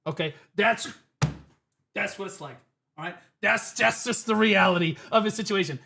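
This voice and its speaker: A man's voice: high-pitched, moderate pace, vocal fry, sharp tone. A man streaming, reacting to clips with emphatic, profane, rambling commentary. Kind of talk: casual ranting commentary.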